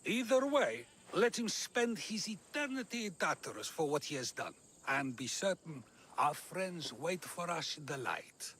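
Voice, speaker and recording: An actor playing a wise old philosopher in a video game, measured, reflective and declamatory. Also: male voice, a little high, sing-song, fairly bright tone, slight background noise, exaggerated voice. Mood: wry. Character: wise old male philosopher, calm and musing. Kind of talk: dramatic game cutscene dialogue.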